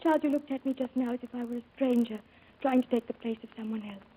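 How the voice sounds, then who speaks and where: A woman playing a tender, perceptive young bride-to-be, gentle and earnest, in 1940s radio drama style. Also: on the high side, quick pace, husky. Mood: tender, wounded. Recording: muffled old radio recording, music behind.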